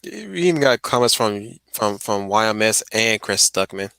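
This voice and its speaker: A man's voice: lowish voice, animated, moderate pace, vocal fry, fairly bright tone, smooth, no room sound. A man chatting casually on a livestream as he reacts to a video, often repeating words as he finds his way. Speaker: stream host.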